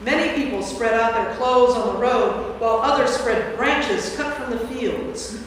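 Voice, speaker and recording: A woman's voice: a little high, unhurried. Distant woman narrator in a cavernous echo. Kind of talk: scripted staged Bible reading. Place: large reverberant church. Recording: echoey church from far off, faint noise.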